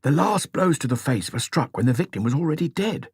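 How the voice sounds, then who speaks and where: A man's voice: a little high, expressive, fairly quick, slightly rough, no echo, studio quality, voice pushed up. A man voicing an upset, dutiful policeman in an audiobook, speaking hesitantly and deferentially to his superior. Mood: reporting. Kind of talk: audiobook character dialogue.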